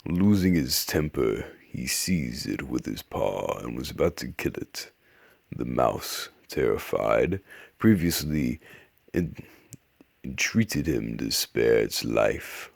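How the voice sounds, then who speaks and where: Man putting on a very deep, gravelly old storyteller. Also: expressive, slow pace, no echo, exaggerated voice. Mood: solemn, unhurried. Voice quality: raspy. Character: old man narrator telling classic animal fables. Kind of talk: slow storybook narration.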